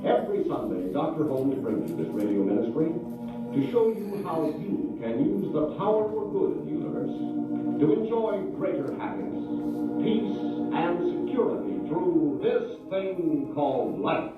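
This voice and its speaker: A man's voice: fairly high pitch, animated, measured pace, far from the mic. A man formally introducing a program in the style of a 1950s radio announcer. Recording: muffled old radio, cavernous echo, music. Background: music.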